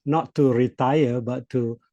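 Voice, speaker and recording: A man in his sixties from Malaysia, a Malay speaker, speaking reflectively as an interview guest, with hesitations and soft laughs. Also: mid-range voice, fairly even intonation, takes it slowly, no room sound, dull audio. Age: 60s. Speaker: CEO coach guest. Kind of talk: interview conversation.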